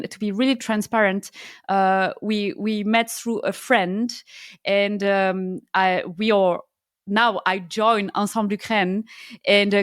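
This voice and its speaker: A woman's voice: mid-range voice, no echo, clean studio sound. A woman hosting a podcast, welcoming her guest and asking questions in a friendly, encouraging way. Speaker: podcast host. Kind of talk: podcast interview.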